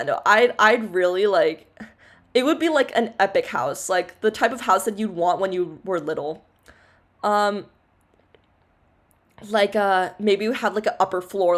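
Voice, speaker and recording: A young woman streamer chats loosely through viewer questions, her sentences stacked with "like" and "um" and broken by giggles. Her voice sits fairly low for her and carries loudly, with a relaxed, rambling flow. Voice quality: low-ish, loud voice. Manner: rambling, filler-heavy, giggly, lots of "like". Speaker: VTuber streamer. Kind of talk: casual Q&A livestream chat.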